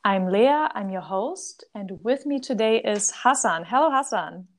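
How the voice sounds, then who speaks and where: A woman speaks as the host of an interview podcast. Her voice is of medium pitch, and her delivery is conversational, moving between explaining and asking. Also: animated, unhurried.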